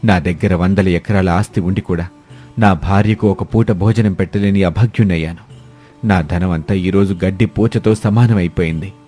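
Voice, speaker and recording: A man's voice: deep voice, fairly even intonation, normal speed, mellow, soft background music. A man voicing a proud older wealthy landlord in a moral-story cartoon. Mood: despairing.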